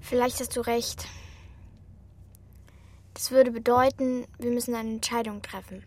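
A woman's voice: a little high, fairly even intonation, measured pace, darkish tone. A young girl actor playing an outspoken, feisty girl in a German children's radio play, talking bluntly and in slang. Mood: reflective. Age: child or young teen girl. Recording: faint music behind the voice.

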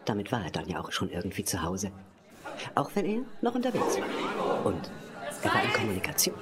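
Very low, swooping woman narrator. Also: huge pitch swings, fairly quick, over a noisy crowd. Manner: wry, musing, slipping into whispers. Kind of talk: theatrical scripted audio drama narration.

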